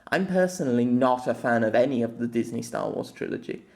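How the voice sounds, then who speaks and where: Guy reading his own audio essay, really animated pitch, kinda wordy and formal. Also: a little fast, gravelly. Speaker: host of an anime audio essay. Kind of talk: scripted narration, opinion essay.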